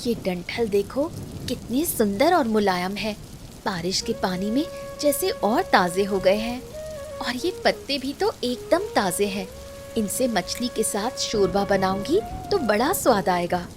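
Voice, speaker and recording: Woman acting a slow-speaking, cheerful village woman. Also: mid-range voice, animated, music in the background, close to an everyday voice. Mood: cheerful, admiring. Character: cheerful, dutiful village women, daughter and mother. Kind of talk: Hindi cartoon story voice acting.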